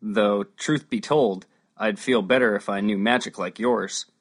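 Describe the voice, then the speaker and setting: A woman voicing a young male squire in an audiobook, nervous and earnest, with a husky voice. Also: very deep voice, measured pace, voice pushed down, full character voice. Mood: putting on bravery.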